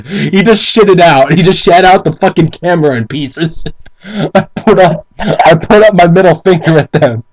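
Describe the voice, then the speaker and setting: A man's voice: sits high, moderate pace. A man doing a mock dramatic reading with casual commentary, incredulous, sweary and theatrical. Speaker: amateur commentator reading fan fiction aloud.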